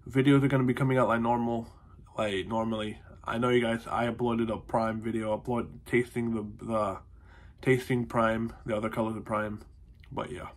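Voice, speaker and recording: A man's voice: mid-range voice, little pitch movement, moderate pace. Twenties guy with a cold, stuffy-nosed and chatty, recorded on a close phone mic at home. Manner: rambling, heavy on fillers and "honestly". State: congested, nasal from a cold. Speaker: vlogger. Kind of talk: casual vlog update. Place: small room at home, indoors. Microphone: phone or webcam close to the face.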